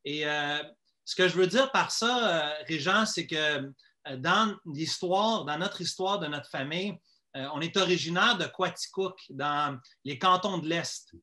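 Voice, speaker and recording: A man from Quebec with a Quebec French accent gives a personal testimony as an interview guest. His voice is fairly high and bright. He talks conversationally, hesitating and repeating words as he goes. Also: a little slow. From Quebec, Canada.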